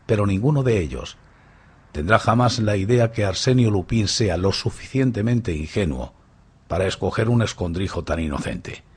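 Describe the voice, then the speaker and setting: A man playing a suave, playful, boastful gentleman thief in a radio drama, with mocking, grandly courteous theatrical delivery. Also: on the low side, lively intonation, normal speed, husky.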